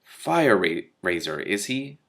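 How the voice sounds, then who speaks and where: Man acting storybook thief, slow-witted, gruff, puzzled. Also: on the low side, very animated, a bit bright, exaggerated voice. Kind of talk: storybook character reading.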